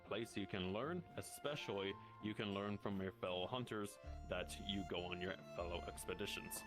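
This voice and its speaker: Man, lowish voice, instructive guild guide roleplay, halting restarts, clear music behind. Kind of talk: improvised in-character explanation in VR roleplay.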